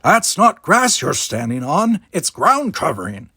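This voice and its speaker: Man voicing a grumpy old gardener, pitched up high. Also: wildly swooping pitch, unhurried, sharp tone, breathy edge, dry recording, clean studio sound, heavily acted. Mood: angry. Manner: barking, blunt, emphatic delivery. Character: grumpy, nosy little old male gardener. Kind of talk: children's novel read-aloud character voice.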